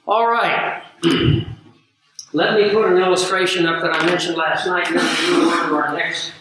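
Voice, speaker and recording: Male preacher, hoarse and scratchy, high and bright, earnest and emphatic, heard from a distance. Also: steady tone. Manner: earnest, emphatic, unhurried teaching with pauses. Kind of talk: sermon teaching with live audience. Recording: very echoey room, voice far from mic.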